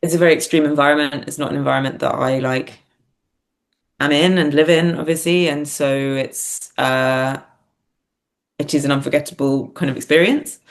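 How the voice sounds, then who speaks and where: A woman's voice: low-pitched, fairly even intonation, normal speed. A woman reflecting as an interview guest, speaking hesitantly and often searching for words. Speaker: podcast guest, theatre writer and performer.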